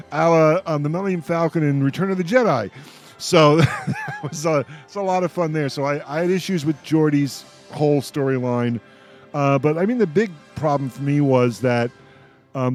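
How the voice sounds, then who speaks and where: A man's voice: on the high side, a little mellow. A man hosts a casual panel discussion in a wry, mock-exasperated way. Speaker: radio show host.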